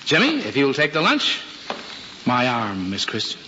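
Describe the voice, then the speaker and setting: A man playing a gruff but genial middle-aged father with a folksy, blustery American manner in an old-time radio drama. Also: mid-range voice, huge pitch swings, a little slow, pushed and bright, full character voice. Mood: flustered, courtly. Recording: muffled, noisy old radio recording.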